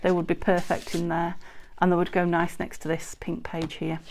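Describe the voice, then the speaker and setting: A woman from the UK hosting a crafting video, chatting casually and rambling a little as she shows her work, her voice a bit croaky. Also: lowish voice, monotone, moderate pace, a little mellow. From United Kingdom. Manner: chatty, rambling, self-correcting with frequent ums. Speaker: craft channel host. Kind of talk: casual crafting tutorial chat. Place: indoors at a craft table. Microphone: overhead camera, hands only on table.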